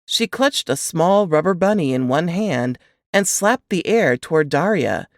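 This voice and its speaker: Animated woman narrating an audiobook. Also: fairly low pitch, measured pace, no room sound, studio quality. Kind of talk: expressive audiobook narration of romantic suspense.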